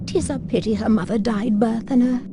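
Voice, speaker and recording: A woman's voice: on the high side, normal speed, noisy background, lightly acted. An actress playing a motherly, gossipy older servant woman, speaking wistfully in old-fashioned phrases in a game cutscene. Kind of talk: dramatic game cutscene acting.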